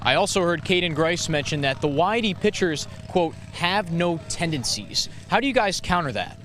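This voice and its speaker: Man, American accent, high voice, sports broadcast delivery, slight background noise. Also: expressive, moderate pace, a bit bright. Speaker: sports announcer and reporter. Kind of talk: play-by-play, interviewing and scripted reporting.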